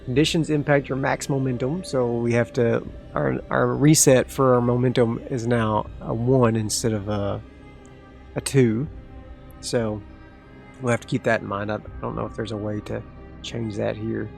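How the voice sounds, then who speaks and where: Gravelly man narrating a solo game. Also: mid-range voice, normal speed, soft background music. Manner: talks through dice rolls, excitable asides. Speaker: solo tabletop game player. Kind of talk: solo actual play, thinking aloud.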